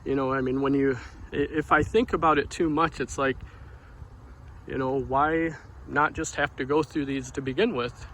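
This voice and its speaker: A soft-spoken man giving an informal devotional talk outdoors near a street, in a quiet, unhurried manner. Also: medium pitch, steady tone, a little hiss or hum, low-quality recording. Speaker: devotional vlogger. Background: passing cars.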